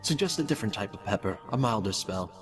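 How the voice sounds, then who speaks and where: A man's voice: lowish voice, expressive, fast talker, close to an everyday voice. A man voicing a cold, detached mad scientist who pompously dictates cruel experiment notes in a fan-made audio drama. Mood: clinical, detached. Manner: clinical, detached, pompous, menacingly polite. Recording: distant voice with music behind.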